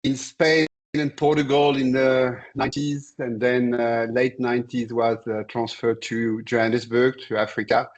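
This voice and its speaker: A man in his sixties from Senegal, a commodity trader and interview guest, telling his career story in a measured, halting way over a video call. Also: mid-range voice, flat delivery, highs cut off. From born in Senegal. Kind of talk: conversational career account.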